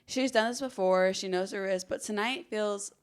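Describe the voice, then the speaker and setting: A woman's voice: medium pitch, moderate pace, soft background music. A woman hosting a true crime podcast, telling the story dramatically with casual conversational asides.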